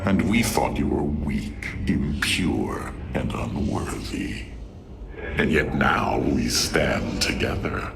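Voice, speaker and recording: A scornful alien warlord from a video game. The voice is extremely low and thick with gravel, sneering through every theatrical phrase. It moves at an unhurried, menacing pace. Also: male voice, lively intonation, heavily acted. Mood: contemptuous. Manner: slow, sneering, theatrical delivery. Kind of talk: video game cutscene acting. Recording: music behind the voice.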